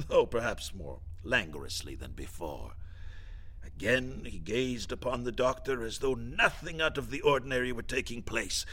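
Evasive, genteel government official, animated and bright. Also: male voice, medium pitch, breathy edge, full character voice. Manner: hesitant, wordy, formal, drily macabre. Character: evasive, genteel deputy minister, male, blandly menacing. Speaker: actor. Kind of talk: satirical audio-drama dialogue.

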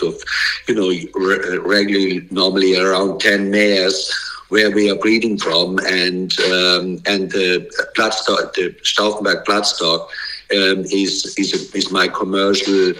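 A man's voice: low-pitched, bright, forceful tone, poor audio. A middle-aged German man with a German accent, a breeder and bloodstock agent, talking hesitantly with many fillers while his voice gives out. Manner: halting, many fillers and restarts. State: losing his voice.

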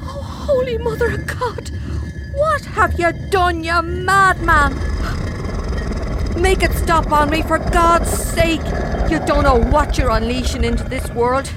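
A woman's voice: very high voice, a little slow, background noise. An actress playing a blunt, suspicious Irish woman in a comedy audio drama, complaining in colloquial speech with an Irish accent. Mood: alarmed. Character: blunt, suspicious, no-nonsense Irish woman, reluctant time traveller.

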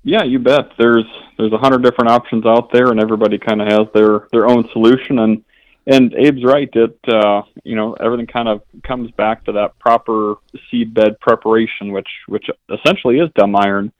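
A man's voice: mid-range voice, steady tone, brisk, no echo. A man from an equipment dealership, explaining things as a radio guest and often restarting his sentences. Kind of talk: interview answers. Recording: dull, lo-fi line.

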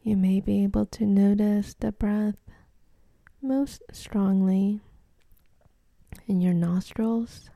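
Woman, meditation guide, very dark mellow tone, gravelly creak, breathy near-whisper, gentle, soothing. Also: medium pitch, fairly even intonation, dry recording. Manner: gentle, soothing, with long pauses.

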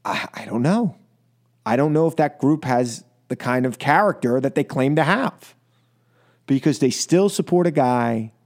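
A man's voice: medium pitch, darkish tone. An American man hosting talk radio, delivering an impassioned political monologue in an emphatic, exasperated way, repeating phrases for stress. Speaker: talk radio show host. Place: radio studio.

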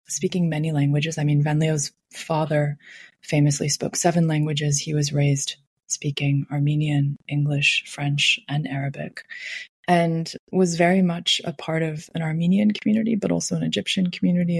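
A woman curator answering interview questions in a measured, explanatory way, often saying "sort of". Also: low voice, vocal fry, a little mellow, breathy edge, no room sound. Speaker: curator, guest.

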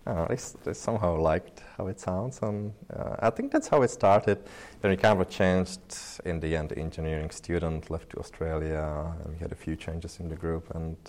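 Man, 30s, deep warm voice, relaxed rambling interview guest. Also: moderate pace. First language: Czech. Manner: loose and chatty, many fillers and restarts. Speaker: interview guest, pianist and researcher. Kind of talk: casual interview talk.

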